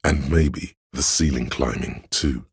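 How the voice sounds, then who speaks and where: Man playing a cool cautious lieutenant, extremely low, raspy, controlled, deliberate, game dialogue. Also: no echo, clean studio sound, heavily acted. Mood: uneasy. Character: cool, cautious, principled male police lieutenant. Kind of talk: dramatic game dialogue, inner thoughts.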